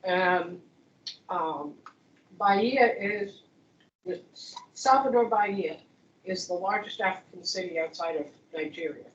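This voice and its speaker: Lower-voiced woman from across an echoey room. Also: measured pace, slight background noise. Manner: rambling, thinking aloud with many restarts. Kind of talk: casual discussion. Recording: distant echoey room mic, dull sound.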